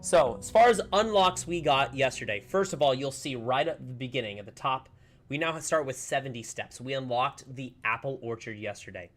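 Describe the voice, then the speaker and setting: A man streaming a game in casual, rambling chat, slightly hoarse as he recovers from a lost voice. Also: mid-range voice, moderate pace, faint music behind. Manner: chatty, upbeat, rambling with many fillers. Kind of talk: casual livestream chat while playing.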